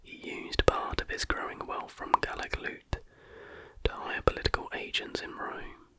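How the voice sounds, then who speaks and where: Hushed, fully whispered ASMR reader. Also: a little slow. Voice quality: unvoiced breathy whisper. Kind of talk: whispered ASMR reading of a history text.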